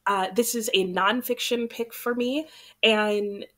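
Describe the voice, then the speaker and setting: A woman's voice: on the high side, takes it slowly. A young woman hosting her book channel, chatting casually to camera while a little sick, so her voice sounds slightly off. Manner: chatty, relaxed, rambling.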